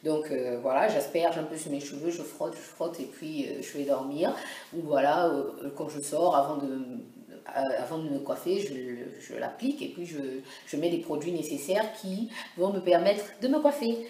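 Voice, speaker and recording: A woman's voice: low voice, moderate pace, warm tone. A woman from Congo chatting casually as a beauty vlogger, hesitant and full of fillers and restarts. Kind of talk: casual beauty vlog talk. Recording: echoey room with music behind. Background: background music.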